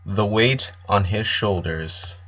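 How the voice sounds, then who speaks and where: A man's voice: fairly low pitch, slow pace, a bit bright, dull audio. A man reading a story aloud as narrator, in an amateur storytelling style.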